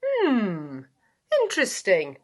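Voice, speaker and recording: Woman playing an animated, playful sleepy storybook dog. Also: mid-range voice, slow pace, exaggerated voice. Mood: pleased. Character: playful, sleepy male dog scheming a yawning game.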